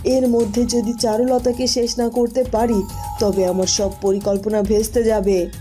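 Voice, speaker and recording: A woman's voice: a little high, little pitch movement, moderate pace, warm tone, faint music behind, lightly acted. A woman voicing a scheming, impatient evil queen in a cartoon fairy tale, haughty and agitated.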